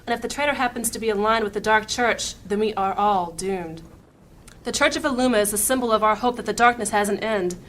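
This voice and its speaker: A woman playing a serious, knowledgeable adviser in a fantasy audio drama, explaining in measured phrases. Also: medium pitch, a bit bright, close to an everyday voice. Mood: grave. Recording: faint background noise.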